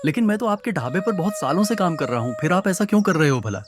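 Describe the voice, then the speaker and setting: A man's voice: sits high, animated, fast talker, music in the background. Earnest poor young husband played by a man. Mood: worried, pleading. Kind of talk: Hindi cartoon moral story voice acting.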